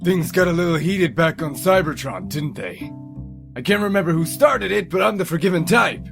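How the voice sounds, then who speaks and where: A manic robot troublemaker for an audio drama. The voice is highish and creaky, needling with mock friendliness, over clear background music. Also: male voice, expressive, moderate pace. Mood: mock-friendly needling.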